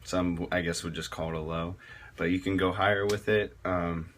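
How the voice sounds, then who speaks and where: A man giving a casual spoken tutorial on metal vocal technique, rambling and halting with many fillers and restarts. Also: sits low, fairly even intonation, fairly bright tone, a little hiss or hum.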